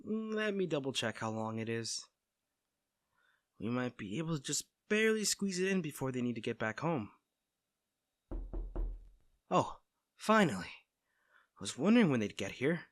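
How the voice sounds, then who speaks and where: A man playing a caring, teasing, protective best friend in a soft-spoken, conversational roleplay audio drama. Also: mid-range voice, sing-song, measured pace, long pauses, no echo, studio quality. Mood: casual, teasing. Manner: fussing, tender, conversational.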